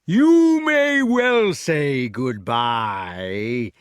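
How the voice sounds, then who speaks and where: A man's voice: on the high side, sing-song, fairly bright tone, no echo, clean studio sound, pitched above the performer's own voice, exaggerated voice. A man doing a sneering, drawling giant. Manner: sneering, drawn-out menace. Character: blustering cruel fat male giant.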